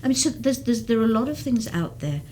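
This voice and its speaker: A woman's voice: on the high side, very animated, fast, a little mellow, a little hiss or hum. A woman who works as a geriatric case manager, explaining at length in an interview with frequent restarts. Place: community TV studio.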